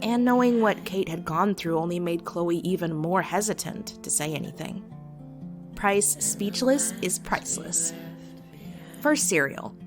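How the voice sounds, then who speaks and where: Give a slightly low-voiced teenage girl played by a woman, wry and fiercely loyal. She confides in her journal with sarcastic, emotive candor, as in a comic dub drama. Guitar music plays clearly behind her. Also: animated, measured pace. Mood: wistful, wry. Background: soft guitar music.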